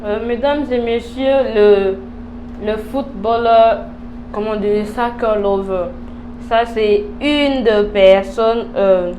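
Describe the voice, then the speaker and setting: A woman's voice: medium pitch, slow, warm tone, rough recording. Congolese woman interviewing over background noise. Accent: Congolese French. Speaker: host, interviewer. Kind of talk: casual TV interview, French mixed with English.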